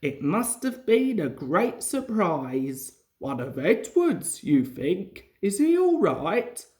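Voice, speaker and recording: Woman reading a slow-spoken, authoritative railway boss. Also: lowish voice, big pitch swings, dark tone. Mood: astonished, concerned. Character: astonished, kindly, authoritative male railway controller.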